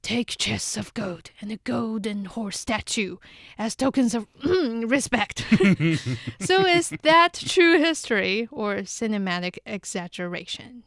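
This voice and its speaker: A woman's voice: a little high, lively intonation, takes it slowly, fairly bright tone, no room sound, studio quality. A woman hosting a casual podcast history quiz, reading out questions and explaining the answers in a chatty way.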